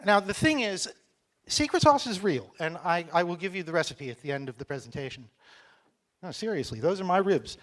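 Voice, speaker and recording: A middle-aged American man from Texas, giving a joking, chatty conference talk on stage and speaking slowly for a foreign audience. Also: high voice, animated, a bit bright. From Texas, United States. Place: conference hall.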